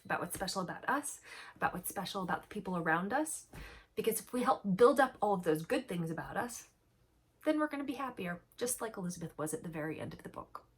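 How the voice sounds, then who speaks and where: A woman storyteller reading a picture book to young children, expressive and emphatic, chatting to them with questions. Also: medium pitch, fast, slightly rough. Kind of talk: animated storybook read-aloud with commentary to young children.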